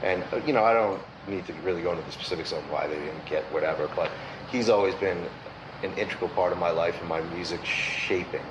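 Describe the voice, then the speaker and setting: A man with a Boston accent, a record store owner chatting in a relaxed, thoughtful way as the guest of an interview. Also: sits low, fast, noisy background. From Massachusetts, USA. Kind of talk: casual interview chat.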